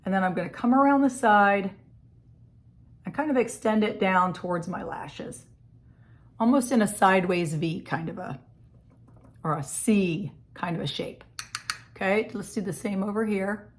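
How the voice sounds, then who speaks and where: A woman's voice: mid-range voice, moderate pace, long pauses. Scratchy-voiced woman recovering from a cold. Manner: chatty, friendly step-by-step explaining. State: hoarse from a cold. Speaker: makeup vlogger. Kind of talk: casual makeup tutorial. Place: home office.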